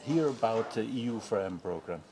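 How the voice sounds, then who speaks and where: Gravelly male interviewer asking questions. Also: fairly low pitch, expressive, brisk, mellow. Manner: hesitant questions with fillers.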